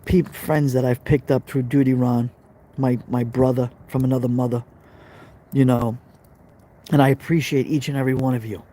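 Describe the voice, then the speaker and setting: A man's voice: medium pitch, little pitch movement, moderate pace, dark tone, poor audio. A middle-aged man with a Brooklyn accent hosting a casual live stream, chatting to viewers and reading their comments, often repeating himself. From Brooklyn, New York. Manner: chatty, repeats phrases, talks straight to viewers.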